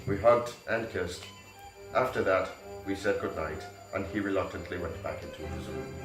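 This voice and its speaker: A man's voice: deep voice, normal speed, over music. A man giving a mock-serious dramatic reading of amateur fiction, prone to giggling. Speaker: reader. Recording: echoey room, mic at a distance.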